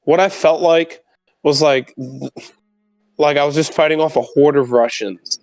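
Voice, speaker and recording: Young male player, slightly high voice, jokey banter. Dry mic. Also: unhurried, gravelly, a little mellow, no echo, faint music behind, poor audio. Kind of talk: casual tabletop game chat.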